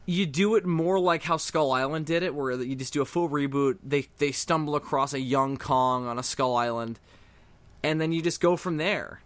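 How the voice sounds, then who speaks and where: A man's voice: on the high side, moderate pace, dry recording. A man hosting a casual fan commentary on a cartoon, explaining things in a matter-of-fact way. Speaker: commentary host.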